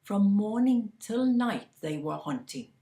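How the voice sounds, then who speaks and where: A woman telling a fairy tale slowly and deliberately, sometimes dropping to a hushed whisper. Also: mid-range voice, animated, echoey room. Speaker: storyteller. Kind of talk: oral fairy-tale storytelling.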